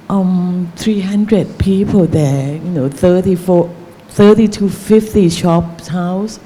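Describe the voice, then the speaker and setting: Slow, mellow-voiced Thai woman. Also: deep voice, a little hiss or hum. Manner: halting, searching for words, many fillers. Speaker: novelist on a panel. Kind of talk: panel discussion answer.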